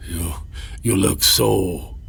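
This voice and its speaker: An actor playing an ailing, wry old monk in an audio drama, weak and halting in his speech. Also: low voice, creaky, fairly bright tone, slightly rough, exaggerated voice. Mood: dazed, weak. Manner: halting, rambling, teasing. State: weak, coughing, groggy.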